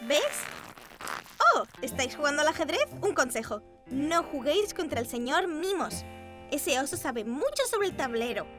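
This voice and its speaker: A woman voicing a cheeky, excitable young girl in an animated children's cartoon, playful and exaggerated. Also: sits high, normal speed. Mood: casual, cheeky. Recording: music behind the voice.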